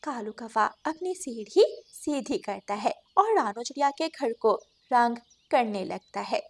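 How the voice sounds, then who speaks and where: A woman's voice: fairly high pitch, animated, measured pace. A woman reading scripted narration for a children's cartoon story.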